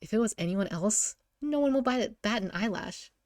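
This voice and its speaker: A woman's voice: medium pitch, lively intonation, normal speed, darkish tone, no room sound, studio quality. A woman informally reading a visual novel aloud as narrator, giggly and often stumbling over lines and rereading them.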